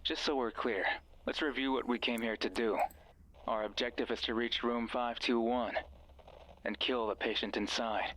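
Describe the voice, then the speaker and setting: An actor playing a manipulative, falsely friendly radio voice in a horror visual novel, with slow, deliberate, ominous delivery. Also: male voice, mid-range voice, steady tone, fairly bright tone, slight background noise, natural voice, low-quality recording. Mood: commanding, ominous.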